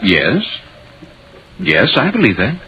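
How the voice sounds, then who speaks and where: An actor playing a sober, skeptical visitor in a 1950s radio drama, speaking in a measured, questioning, reassuring manner. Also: male voice, fairly low pitch, huge pitch swings, breathy edge, heavily acted. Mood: calm, attentive. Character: sober, skeptical male visitor narrating a ghost story. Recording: muffled, noisy old radio recording.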